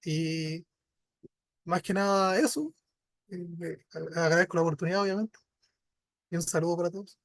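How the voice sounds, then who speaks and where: A man with a Chilean accent, a guest author introducing himself in a relaxed, self-deprecating way. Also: high-pitched, moderate pace, long pauses, dry recording, clean studio sound.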